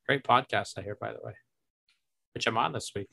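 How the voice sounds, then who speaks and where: A man co-hosting a casual wrestling podcast, steering the talk along with quick jokes. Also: lowish voice, creaky, bright, forceful tone. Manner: steers the show, joking and moving things along. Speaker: podcast co-host.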